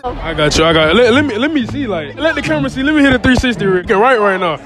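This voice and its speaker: Playful young man interviewing on a loud street. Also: very high voice, lively intonation, rapid-fire. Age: young adult. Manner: playful, boastful, repeats phrases. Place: busy nightlife street outdoors. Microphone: handheld mic. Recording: loud music and crowd behind. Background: music and crowd chatter.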